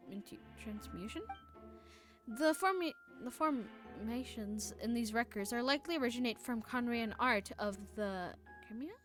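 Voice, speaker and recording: A woman livestreaming a game with casual commentary, muttering asides and haltingly reading text aloud, correcting herself as she goes. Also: on the high side, a bit bright. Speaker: live game streamer. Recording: music playing behind the voice. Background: game music.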